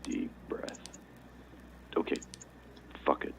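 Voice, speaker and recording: A man's voice: slow, in a whisper, lightly acted. A man playing a shaken, cynical night security guard in a game, muttering a weary audio log on a muffled, noisy recorder. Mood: nervous, resolute.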